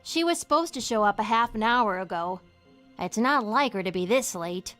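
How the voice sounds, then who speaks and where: High-pitched, sweet little girl. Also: expressive, moderate pace, a bit bright, soft background music. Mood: wistful. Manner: childlike, cutesy. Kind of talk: animated adventure game acting.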